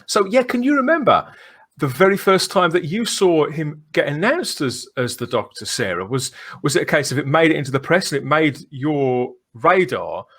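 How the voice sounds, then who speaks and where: Male podcast host, voice a little on the high side, chatty and playfully enthusiastic delivery. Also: expressive, moderate pace, a bit bright. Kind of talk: chatty fan podcast hosting.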